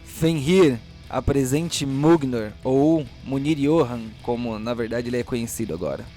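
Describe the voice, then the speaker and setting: A man's voice: medium pitch, unhurried, dark tone. Male game master, mid-range voice, dramatic narration of a fantasy audio drama over light guitar.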